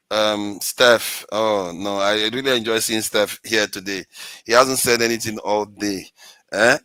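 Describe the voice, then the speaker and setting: Bright, forceful low-voiced male anchor. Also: no echo, low-quality recording. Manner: animated, chiding, cuts in to keep order. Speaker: anchor of the online show. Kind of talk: online panel discussion.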